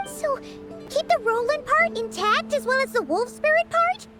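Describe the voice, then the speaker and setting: A woman's voice: very high voice, lively intonation, normal speed. An actress voicing a small, chirpy floating companion girl in a game, quippy and curious. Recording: loud game music behind.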